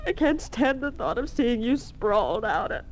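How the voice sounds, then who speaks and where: An actress playing a devoted, anguished party secretary in a 1950s radio melodrama, with an emotional, dramatic delivery. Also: female voice, a little high, big pitch swings, normal speed. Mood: frightened, anguished. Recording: faintly noisy old radio recording.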